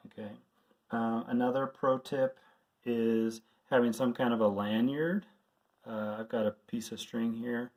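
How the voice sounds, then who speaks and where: A man speaks as an instructor in an informal, practical tutorial. His delivery is plain and instructive, taking the listener through each step in order. His voice sits at an average male pitch. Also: steady tone, a little slow, darkish tone. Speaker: radio instructor.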